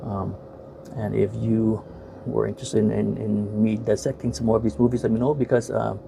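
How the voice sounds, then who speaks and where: A man in his forties, raised in the States, talking casually to the camera in a bedroom, halting with many fillers. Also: lowish voice, flat delivery, creaky, noisy background. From Vietnam, raised in the United States. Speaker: vlogger reviewing films. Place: bedroom at home, indoors.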